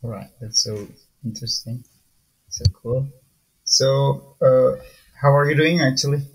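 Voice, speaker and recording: A man's voice: medium pitch, unhurried, slightly rough, poor audio. A young man hosting a podcast, asking questions in an easygoing, loosely phrased way. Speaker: podcast host. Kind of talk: casual podcast interview.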